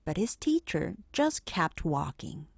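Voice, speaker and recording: Female children's read-aloud narrator, lively intonation at a slightly slow pace, expressive phrasing. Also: on the low side, gravelly, husky, no echo, clean studio sound. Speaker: storybook narrator.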